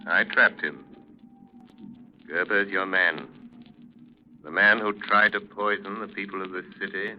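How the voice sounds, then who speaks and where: An actor playing a mysterious, commanding male crime-fighter in 1930s radio drama, speaking formally and deliberately. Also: low-pitched, steady tone, faint music behind. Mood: calm, commanding. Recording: muffled, noisy vintage radio recording.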